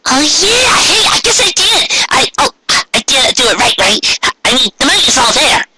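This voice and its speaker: A man voicing a bubbly, eager, slightly anxious young female pony in a storybook reading, rambling excitably. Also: very high voice, normal speed, heavy background noise, voice pushed up. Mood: excited, unsure.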